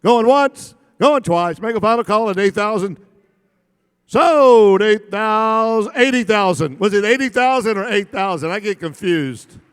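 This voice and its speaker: A man working a live charity auction, rattling off bids in a rapid rhythmic chant and joking with the crowd. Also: high-pitched, animated, clean tone. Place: large banquet hall at a gala. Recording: long reverb in a big hall.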